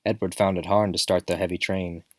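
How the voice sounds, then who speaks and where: A man's voice: deep voice, little pitch movement, fairly quick, dry recording, clean studio sound. A man narrating a scripted children's story in a theatrical, emphatic storytelling manner. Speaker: storybook reader. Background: music.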